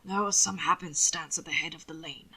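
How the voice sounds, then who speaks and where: A woman's voice: low-pitched, steady tone, a little fast, bright, forceful tone, breathy edge, pitched below the performer's own voice, full character voice. A woman voicing a frail, affectionate old aristocratic grandfather in an audiobook, genial and formally old-fashioned. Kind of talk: audiobook character voice. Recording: occasional static crackle from microphone.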